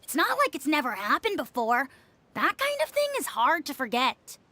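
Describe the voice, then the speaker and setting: A woman's voice: high-pitched, wildly swooping pitch, normal speed, sharp tone, no echo, exaggerated voice. A woman playing an eerily cheerful, loyal young child informer in a video game, matter-of-fact about cruel things. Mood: earnest, firm.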